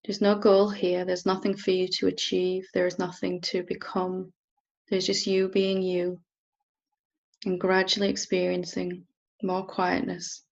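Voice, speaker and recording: Female meditation teacher: soft instruction, level intonation, creaky texture, lingering pauses, somewhat muffled sound. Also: on the low side, completely flat delivery. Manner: unhurried, gentle, with long pauses. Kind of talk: guided meditation, softly instructing.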